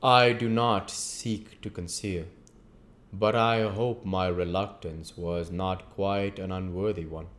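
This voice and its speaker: A man's voice: low-pitched, fairly even intonation, takes it slowly, a bit bright. A man reading a classic novel aloud as narrator and host of his own channel.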